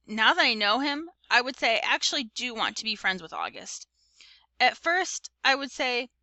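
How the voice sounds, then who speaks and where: Woman playing fifth-grade boy narrator, frank, casual, good-natured, bright, lively storybook delivery. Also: fairly high pitch, no echo. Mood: sincere. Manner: casual, conversational, youthful slang.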